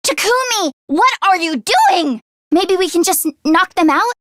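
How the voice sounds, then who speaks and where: A woman voicing an anxious, earnest teenage girl mechanic in a video game, with an expressive, hesitant delivery. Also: extremely high pitch, moderate pace, dry recording, clean studio sound. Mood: exasperated, firm. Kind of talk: English video game voice acting, isolated voice lines.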